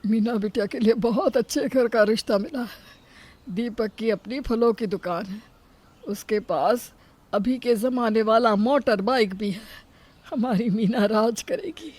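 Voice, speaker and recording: A woman's voice: fairly high pitch, measured pace, a little mellow. A woman voicing an earnest, caring middle-aged father, a poor working man in a cartoon moral story. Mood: proud, pleased.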